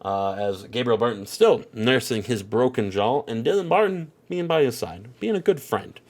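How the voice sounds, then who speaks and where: A man's voice: medium pitch, expressive, moderate pace, darkish tone. A man with a stuffed-up nose giving casual, rambling commentary on a wrestling game, full of filler phrases. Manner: rambling, heavy on "you know" and "at the end of the day".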